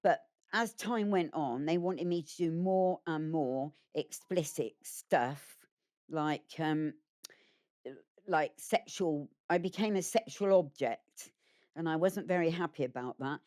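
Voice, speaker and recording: A woman's voice: deep voice, slow pace, a little mellow. A woman giving a candid documentary interview about her experiences. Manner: plain candid recounting.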